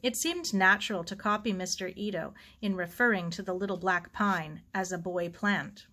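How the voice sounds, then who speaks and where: A woman's voice: medium pitch, moderate pace, bright, forceful tone. A woman reading a story aloud as scripted audiobook narration. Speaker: audiobook reader.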